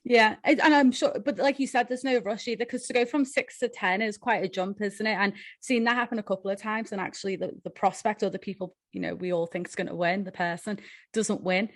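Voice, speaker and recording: A woman's voice: medium pitch, fairly even intonation, fairly quick, no echo. A woman interviewing a boxer in a chatty way, often ending her points with tag questions. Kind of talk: casual interview.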